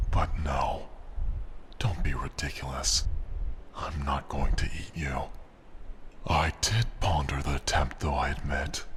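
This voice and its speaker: Abyssally deep, haughty dragon. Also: male voice, a bit bright, slight background noise, exaggerated voice. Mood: dryly amused. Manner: haughty, formal, deliberate, threatening. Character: arrogant, menacing, possessive male dragon, last of his kind. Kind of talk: ASMR fantasy roleplay, slow theatrical monologue.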